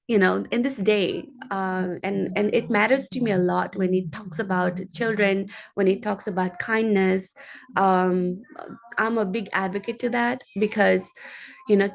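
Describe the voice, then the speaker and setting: A woman from Nepal with a Nepali accent, giving a personal advocacy speech in an earnest, halting way as a guest speaker. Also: medium pitch, vocal fry.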